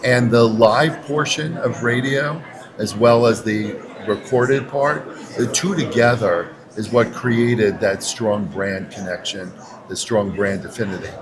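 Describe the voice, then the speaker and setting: A man's voice: on the low side, a little slow. A man in his sixties speaking earnestly and conversationally as a guest in a podcast interview. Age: 60s. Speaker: interview guest, advertising agency chief executive. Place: podcast booth at a busy conference. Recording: crowd chatter around the voice. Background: crowd chatter.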